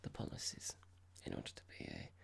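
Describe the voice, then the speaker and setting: An ASMR performer playing a cold, condescending boss in a roleplay, speaking softly in a measured, formal, halting and passive-aggressive way. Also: lightly acted. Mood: cold, probing. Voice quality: breathy, creaky whisper. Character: cold condescending boss about to fire an employee. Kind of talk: ASMR roleplay, whispered and soft-spoken.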